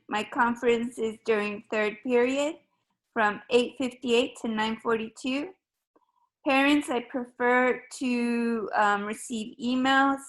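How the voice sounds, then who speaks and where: A woman from Ecuador, a high school Spanish teacher, giving a recorded open house talk in a measured, formal way with frequent ums. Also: a bit bright, low-quality recording.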